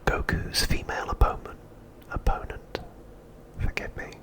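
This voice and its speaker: A man whispering a scripted recap as ASMR narration, softly and with deliberate pauses, close to the microphone.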